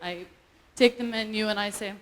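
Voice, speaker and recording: A woman from Ireland with an Irish accent, performing an animated, self-mocking stand-up set on a live stage and laughing often. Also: mid-range voice, moderate pace. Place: live event stage before an audience.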